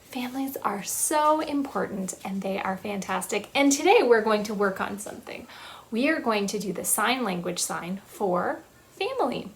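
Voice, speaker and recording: A woman's voice: mid-range voice, lively intonation, normal speed, vocal fry. A woman in her thirties leading a children's storytime in a small room, talking in a sing-song, teacherly way and singing simple songs with hand gestures. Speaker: children's librarian. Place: small playroom with shelves, indoors.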